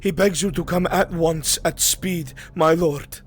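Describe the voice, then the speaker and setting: A man's voice: a little high, a little fast, gravelly. A man voicing a breathless, anxious male messenger in a fantasy roleplay, stammering and pleading in formal, deferential speech. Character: breathless, frightened, deferential male messenger runner, mud-soaked. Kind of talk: live improvised fantasy roleplay. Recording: noisy live game stream audio.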